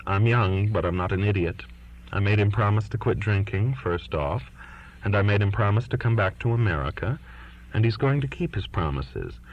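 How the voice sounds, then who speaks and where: Earnest young daughter, read by a low-voiced man, lo-fi. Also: lively intonation, normal speed. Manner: pleading, insistent. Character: earnest, defiant twenty-year-old daughter writing to her father. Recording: dull lo-fi recording.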